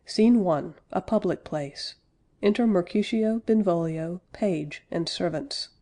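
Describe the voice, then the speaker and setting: A woman's voice: on the low side, slow pace, dark tone. A woman narrator giving a scripted reading of stage directions and headings for a play.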